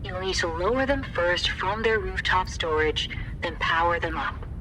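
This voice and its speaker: Dry, measured game tech expert. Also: female voice, fairly low pitch, lively intonation, sharp tone, slight background noise, rough recording. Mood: matter-of-fact. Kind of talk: video game voice acting.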